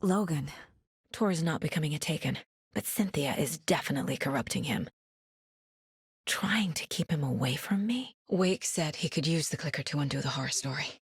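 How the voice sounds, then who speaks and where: A woman's voice: deep voice, animated, normal speed, slightly rough, no room sound, studio quality, full character voice. A woman playing a determined, analytical FBI agent and mother in a video game, speaking in a serious, naturalistic way. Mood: focused, brooding. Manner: serious, matter-of-fact, analytical. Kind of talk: naturalistic game voice acting, inner monologue and dialogue.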